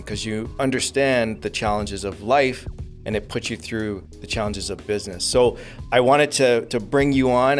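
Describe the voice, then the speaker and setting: A man hosting a business podcast, introducing his guest in an earnest, measured way with frequent fillers. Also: medium pitch. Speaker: podcast host. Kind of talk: interview hosting.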